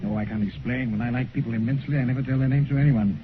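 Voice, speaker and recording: An actor playing an earnest, sensitive gentleman painter in a 1950s radio play, confiding. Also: mid-range voice, steady tone, very fast, phone-quality sound, soft background music, close to an everyday voice. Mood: reluctant, confiding. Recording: noisy band-limited 1950s radio recording.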